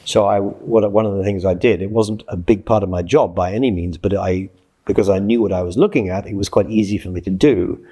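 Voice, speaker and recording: A man of about sixty from Scotland, giving reflective interview answers in a measured, explanatory way, often correcting himself. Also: low voice, steady tone, dark tone, breathy edge. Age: late 50s to early 60s. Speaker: interviewee, journalist and freelance writer.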